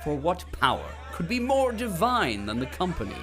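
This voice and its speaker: A man's voice: high voice, huge pitch swings, normal speed, fairly bright tone, faint music behind, exaggerated voice. A man acting as a grandiose corporate propaganda narrator in an audio drama, with a grand, unctuous dramatic delivery. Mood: smug.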